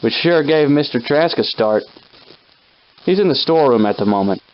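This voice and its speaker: Guy doing a folksy old timey soldier sidekick for a video game, mid pitched, plucky but rattled, kinda muffled lofi audio. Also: animated, normal speed, natural voice. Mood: calm, explaining. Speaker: game character companion. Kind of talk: scripted video game character acting, old-time dialect.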